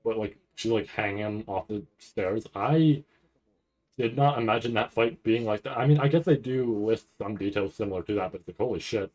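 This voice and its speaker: A man giving casual live reaction commentary, nervous and rambling, halting and repeating his words as he goes. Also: lowish voice, a little mellow, low-quality recording. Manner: anxious, nervous anticipation. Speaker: anime commentator reacting to a livestream event.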